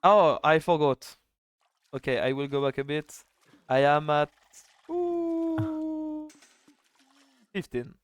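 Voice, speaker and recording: A man's voice: fairly high pitch, expressive, takes it slowly, long pauses, no echo, muffled recording. A French man casting a strategy game in casual chat, laughing often and restarting his sentences. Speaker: game caster.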